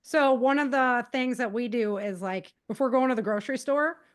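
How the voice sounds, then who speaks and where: Higher-voiced woman, casual interview guest. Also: normal speed, clean tone. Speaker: guest author giving safety advice. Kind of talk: casual, conversational podcast interview.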